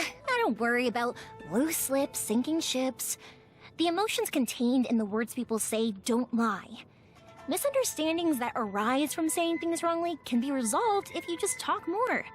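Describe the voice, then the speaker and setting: Bubbly young firework maker girl, high-pitched, very animated, playful, talkative, video game character. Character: cheerful, chatty young woman who makes fireworks, warm and playful.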